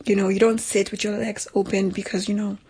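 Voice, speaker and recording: Husky young woman, animated and slangy. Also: mid-range voice, fairly quick. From Nigeria. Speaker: podcast co-host. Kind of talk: casual chat.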